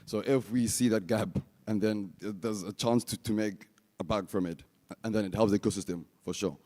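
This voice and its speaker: Low, mellow man in a reverberant hall. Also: brisk. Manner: casual, peppered with "like" and "you know". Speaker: startup founder answering judges. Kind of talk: unscripted Q&A answer. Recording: long reverberant hall sound.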